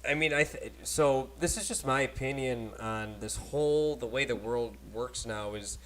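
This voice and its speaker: A man hosting a casual podcast on a cheap desk microphone, talking in animated, rambling bursts with frequent laughter. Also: mid-range voice, moderate pace, a little hiss or hum. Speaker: podcast host. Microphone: cheap desk microphone at a laptop.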